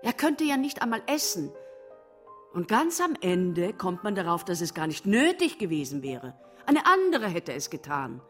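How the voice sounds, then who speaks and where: An actress in a radio drama playing a bitter, proud, long-suffering wife, reproachful and theatrical. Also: female voice, fairly low pitch, sing-song, normal speed, a bit bright. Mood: bitter, aggrieved. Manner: reproachful, theatrical, emotional monologues. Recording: studio radio play over music.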